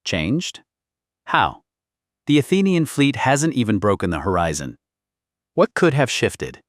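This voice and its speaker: A man voicing a cold, calculating young prince in an audiobook, intense and measured. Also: medium pitch, sing-song, dry recording, studio quality. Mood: startled, probing. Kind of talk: audiobook character dialogue.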